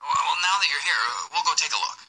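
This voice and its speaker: An actor playing a confident, stubborn insurance investigator in an old-time radio mystery, with a husky, hard-edged voice. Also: male voice, on the high side, expressive, fast, bright, forceful tone, exaggerated voice, low-quality recording. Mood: dry, curious. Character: confident, stubborn male fire-insurance investigator, nosy and self-assured.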